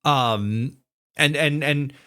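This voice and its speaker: A man hosting a casual interview podcast, talking in a halting, rambling way peppered with filler words. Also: medium pitch, sharp tone, smooth. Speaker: podcast host interviewing a guest.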